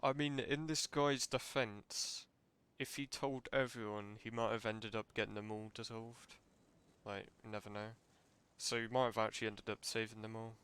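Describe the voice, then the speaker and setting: A man's voice: on the low side, steady tone, normal speed, a bit bright, clean tone. A man giving casual, offhand commentary as a game streamer.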